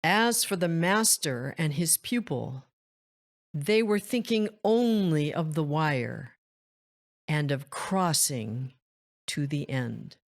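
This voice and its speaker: Casting: woman narrator for children's picture books, slightly low voice, very measured delivery, expressive, over music. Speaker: storytime host, children's book reader. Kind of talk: expressive scripted read-aloud narration for children.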